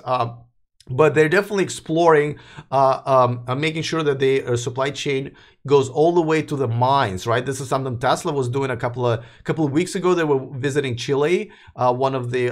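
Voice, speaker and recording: A tired man hosting a casual electric car livestream. He reads out viewer comments and rambles, with many fillers and restarts. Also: medium pitch. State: tired, voice wearing out. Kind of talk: casual live chat, reading viewer comments.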